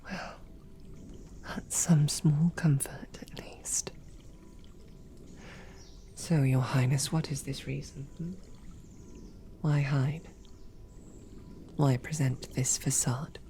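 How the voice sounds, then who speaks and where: A woman playing a composed, sarcastic female royal knight who speaks formally and often softly, close to a whisper, in an audio roleplay. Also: low voice, steady tone, slow, long pauses. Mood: hurt, pleading.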